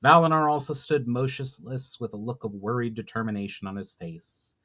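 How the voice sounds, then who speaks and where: A man's voice: mid-range voice, steady tone, fairly quick, fairly bright tone. Man giving a dramatic fantasy narration. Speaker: author reading his own novel.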